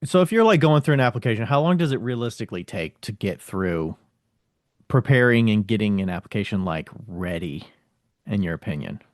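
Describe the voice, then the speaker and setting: A man with a fairly low voice hosts a casual podcast conversation. He talks informally as he interviews his guest. The sound is dry, with hardly any room on it. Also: lively intonation, fairly quick, no echo.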